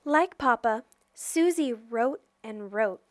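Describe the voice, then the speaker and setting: High-pitched, expressive woman reading a storybook. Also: takes it slowly, dry recording, studio quality. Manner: expressive, careful storytelling delivery. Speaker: storybook reader. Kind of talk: children's picture book read-aloud.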